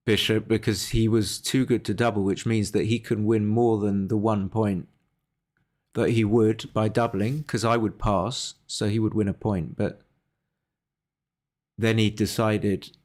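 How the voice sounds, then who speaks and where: Male game streamer, lowish voice, casual and hesitant, reasoning through plays aloud. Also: a little mellow. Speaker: livestreamer analysing his backgammon games. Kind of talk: casual live game commentary.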